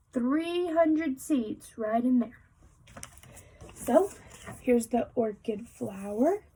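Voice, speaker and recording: A woman's voice: fairly high pitch, dark tone, breathy edge, poor audio. A woman reading a children's picture book aloud like a teacher, adding explanatory asides and speaking directly to young listeners.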